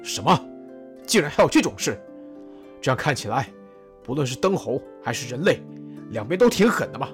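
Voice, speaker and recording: A woman's voice: a bass voice, sing-song, brisk, pauses often, faint music behind, exaggerated voice. A woman voicing a hot-tempered male army general who blusters and accuses. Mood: incredulous.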